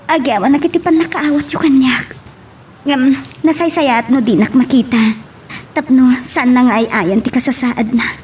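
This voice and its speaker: A woman's voice: sits high, steady tone, normal speed, a little mellow, slight background noise, natural voice. An actress playing a heartbroken young woman, devoted and pleading, in an Ilocano radio drama. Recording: music under the dialogue.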